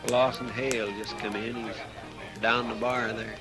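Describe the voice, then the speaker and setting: An actor playing a loyal, anxious Old West deputy with a rural American accent and earnest, deferential manner in 1950s radio drama. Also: male voice, medium pitch, little pitch movement, normal speed, natural voice. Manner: folksy, deferential, earnest drawl. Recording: vintage radio recording with music.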